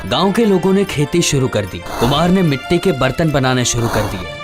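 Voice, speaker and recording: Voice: medium pitch, sing-song, normal speed, music in the background. A storyteller giving scripted narration for a fairy tale.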